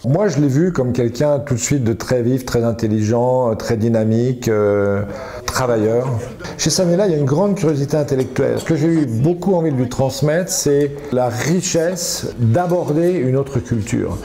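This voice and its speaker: A man who runs a café talks about his intern in a documentary interview. His voice is warm and relaxed, at a medium pitch. He speaks reflectively, often circling back and repeating words as he searches for the right phrase. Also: moderate pace. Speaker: café owner.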